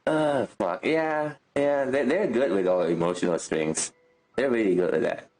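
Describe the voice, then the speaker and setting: A man's voice: medium pitch, normal speed, a little mellow, rough recording. A man doing informal, sweary gameplay reaction commentary as a streamer. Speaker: game streamer. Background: game music.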